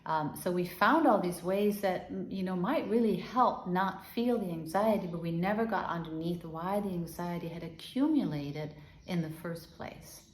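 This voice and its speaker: Gentle woman. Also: on the low side, echoey room. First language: Danish. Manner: gentle and unhurried, with soft repeated guiding phrases. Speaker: healing and meditation teacher. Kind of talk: guided body-awareness talk.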